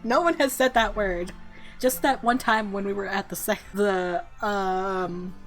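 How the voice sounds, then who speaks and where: A woman's voice: medium pitch, unhurried, faint music behind. A woman giving casual let's play commentary on a game, reading bits aloud, with flustered, giggly reactions. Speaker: video game streamer.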